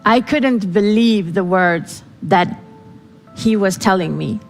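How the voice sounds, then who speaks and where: A woman's voice: mid-range voice, takes it slowly, in a large hall, faint music behind. A woman whose first language is Farsi gives a dramatic storytelling speech, acting out the voices she quotes. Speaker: speaker. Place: event hall.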